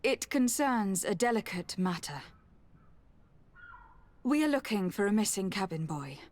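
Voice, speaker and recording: A woman's voice: mid-range voice, animated, a little slow, natural voice. A young woman playing a courteous, composed noble envoy in a video game, speaking formally and asking probing questions. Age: young adult. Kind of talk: dramatic game dialogue.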